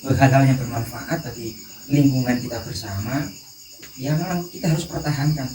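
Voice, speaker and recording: A man in his thirties with a Javanese accent, speaking haltingly as a guest in an informal panel talk. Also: mid-range voice, a little mellow. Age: 30s. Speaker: discussion guest. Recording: distant, echoey, noisy recording with insects.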